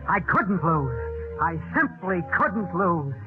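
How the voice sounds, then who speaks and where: An actor playing a cocky, desperate killer narrating in slangy, hard-boiled 1940s radio noir style. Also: male voice, sits high, big pitch swings, slow pace, narrow, tinny audio, heavily acted. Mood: triumphant. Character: cocky, desperate wife-killer convinced of his luck. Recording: old radio recording, thin band, music behind.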